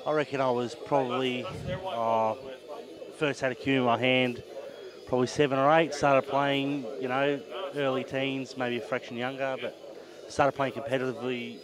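A man answering interview questions into a handheld mic in a pool hall, relaxed and thinking aloud. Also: medium pitch, moderate pace, crowd noise. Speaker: pool player.

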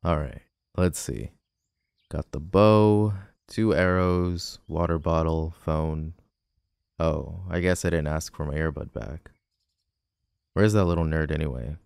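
A man's voice: very deep voice, takes it slowly, vocal fry, pauses often, darkish tone, clear, resonant tone, no room sound, studio quality, full character voice. A man playing a cocky, grumpy tall teenage delinquent boy in an audio roleplay, sarcastic, teasing and dismissive. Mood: sarcastic, relieved. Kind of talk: boyfriend ASMR audio roleplay.

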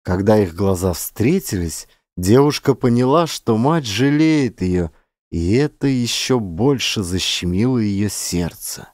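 Expressive male audiobook narrator, lively pitch. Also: measured pace, no room sound, studio quality. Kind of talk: dramatic literary audiobook narration.

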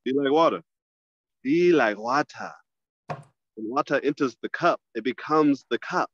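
A man, a stand-up comic, chatting casually as a podcast guest over a phone video call, loose and relaxed, often restarting his phrases. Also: medium pitch, unhurried, dull audio, slight background noise. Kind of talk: casual conversation.

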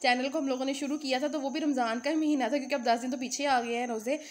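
A woman's voice: sits high, fairly even intonation, quick pace. A young woman from Pakistan hosting a home cooking vlog, chatting casually and talking straight to her viewers. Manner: chatty, talks straight to viewers, many fillers. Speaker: channel host.

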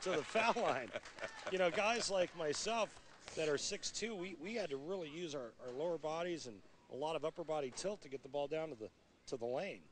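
American male bowling analyst, fairly high voice. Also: lively intonation, brisk. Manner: explanatory, conversational. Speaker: bowling colour commentator. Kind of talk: analytical sports commentary. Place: bowling centre during televised championship. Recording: broadcast over loud venue noise. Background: loud bowling venue ambience.

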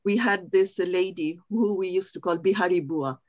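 A woman's voice: fairly low pitch, moderate pace, lo-fi sound. Chatty woman on a home video call. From Bangladesh. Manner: apologetic, chatty, reminiscing. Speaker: audience member. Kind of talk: casual question in an online talk. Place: room at home.

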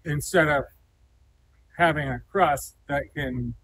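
A man's voice: a little high, takes it slowly, fairly bright tone, slight background noise, rough recording. A man in his thirties interviewing a farmer in a large barn, conversational and prompting with questions. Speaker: interviewer for a silo company. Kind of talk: on-site interview. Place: indoor cattle barn, large, open-sided.

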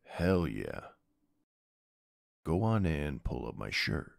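A man's voice: very deep voice, sing-song, takes it slowly, gravelly, dry recording, studio quality, exaggerated voice. A man playing a flirty, laid-back gym bro in an ASMR roleplay, drawling and teasing in an easygoing way.

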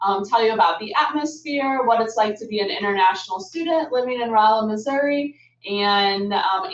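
A woman's voice: on the high side, far from the mic. Young woman hosting a webinar, upbeat and chatty, echoey room. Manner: upbeat, with frequent "um" fillers. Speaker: webinar host, international affairs staff. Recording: muffled, roomy, from off the mic.

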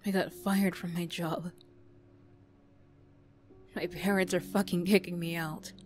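A woman playing a defensive teenage school bully girl who reluctantly opens up, prickly and halting, in an emotional ASMR roleplay. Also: lowish voice, level tone, a little mellow, lightly acted. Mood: despairing, confiding. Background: music.

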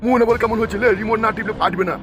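A man's voice: high voice, rapid-fire, over music, lightly acted. A man voicing a brash, crude, bossy cartoon schemer. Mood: bossy, eager. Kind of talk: comic cartoon dubbing.

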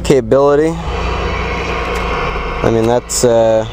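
A man's voice: medium pitch, slow. A man giving casual hands-on commentary as a CB radio hobbyist, recorded inside a car. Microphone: handheld camera near the dashboard. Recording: loud vehicle and radio noise. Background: radio static and chatter, vehicle noise.

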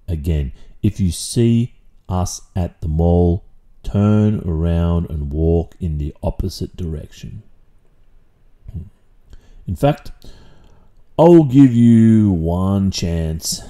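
Man acting a very dark-voiced Australian stern father. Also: deep voice. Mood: cold, threatening. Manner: deliberate, condescending, drawn-out pauses. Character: stern, protective, possessive father with a menacing calm. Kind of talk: intimate audio roleplay, slow dramatic monologue.

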